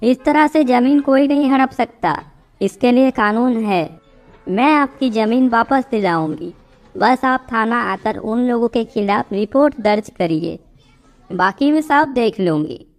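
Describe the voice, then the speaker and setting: A woman's voice: fairly high pitch, expressive, unhurried, no room sound, faint music behind. A woman playing a confident, polite and helpful young female police officer in a Hindi cartoon story. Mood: firm, reassuring.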